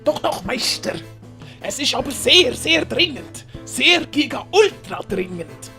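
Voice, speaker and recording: A sly, menacing henchman played by a woman who drawls slowly with big swoops of pitch. He fawns like a servant in a children's audio story. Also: sing-song, bright, forceful tone, faint music behind, heavily acted. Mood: urgent, servile. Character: sly, fawning, menacing male villain's henchman. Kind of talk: dramatised German-language children's audiobook.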